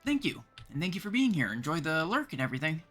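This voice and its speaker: A man's voice: fairly high pitch, sing-song, fast, a bit bright, faint music behind. A man with a Canadian accent, chatting casually as a livestream host and thinking aloud while he plays.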